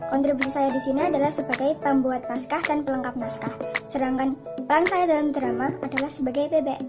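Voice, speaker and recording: A woman's voice: high-pitched, fairly even intonation, fairly quick, over loud music. A young woman, a student, giving a scripted introduction. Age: young adult.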